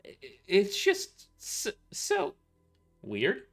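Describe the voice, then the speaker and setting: High, stammering, frightened scruffy man, acted by a man. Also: wildly swooping pitch, extremely slow, vocal fry, faint music behind, heavily acted. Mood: terrified, stammering. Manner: stammering, nervous. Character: frightened, scruffy, skinny beaten-up man, a sheepish gang survivor. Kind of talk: amateur audio drama acting.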